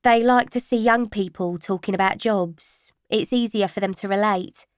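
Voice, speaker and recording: A male high school history teacher, voiced by a woman narrator. He is formal and clipped, stern with a touch of awkwardness. It is storybook reading in a very dry space. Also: normal speed, clean tone, no echo, close to an everyday voice. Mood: awkward, hesitant.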